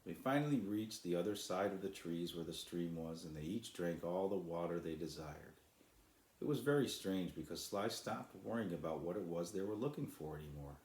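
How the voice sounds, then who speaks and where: A man's voice: low-pitched, lively intonation, moderate pace, darkish tone, echoey room, low-quality recording. A man who is an author, reading and introducing his own children's story as a storyteller. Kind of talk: storybook narration.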